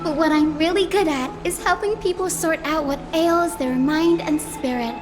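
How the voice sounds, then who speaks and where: An actress voicing a sweet, eager young lady healer in a video game, with a gentle, polite, bubbly manner. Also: high-pitched, moderate pace, lightly acted. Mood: kindly, upbeat. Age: young adult. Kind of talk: scripted video game dialogue. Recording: music clearly behind the voice.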